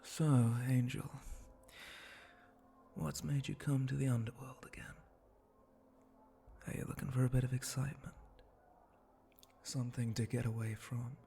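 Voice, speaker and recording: A man acts out a suave male spider monster tending bar in an ASMR roleplay. His voice is soft-edged and breathy, slipping often into whispers. He flirts and teases in a slow, narrow-ranged drawl, close to the mic over faint background noise. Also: mid-range voice, level tone. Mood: teasing, coaxing. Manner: slow, seductive, teasing drawl with soft chuckles.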